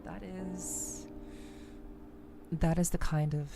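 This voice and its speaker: A woman with a very low voice and a creaky edge talks casually to her stream. She is reflective and deliberate, repeating phrases for emphasis, with music playing behind her. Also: fairly even intonation. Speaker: streamer hosting a game playthrough. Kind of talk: reflective casual commentary to viewers.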